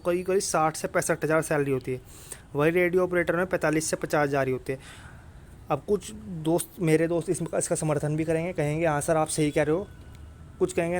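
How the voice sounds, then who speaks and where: A man with a North Indian accent and a slightly high-pitched voice talks directly to his viewers. He explains things informally, like an online coach. His manner is blunt and insistent, and he often repeats a point and checks that it has landed. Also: darkish tone, slight background noise. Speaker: exam coaching YouTuber.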